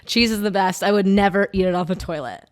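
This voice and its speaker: A young woman co-hosting a podcast, talking loudly in a raspy, deep-ish voice, laughing often in quick bursts. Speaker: podcast co-host. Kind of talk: casual chat.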